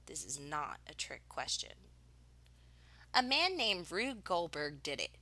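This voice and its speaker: Bright, animated woman reading a storybook aloud. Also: sits low, measured pace. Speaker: teacher reading a picture book aloud. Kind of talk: expressive children's read-aloud of a picture book.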